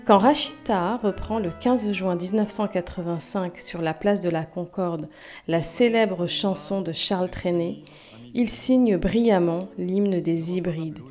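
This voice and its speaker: A woman's voice: fairly low pitch, measured pace, darkish tone, faint music behind. A woman hosting a podcast, reading scripted introductions and putting questions to a guest. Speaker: podcast host.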